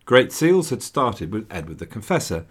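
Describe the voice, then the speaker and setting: A man's voice: lowish voice, sing-song, normal speed, husky. A man hosting a history podcast, reading a scripted narration with wry, dry jokes along the way. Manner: wry, dryly joking asides. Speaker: podcast host.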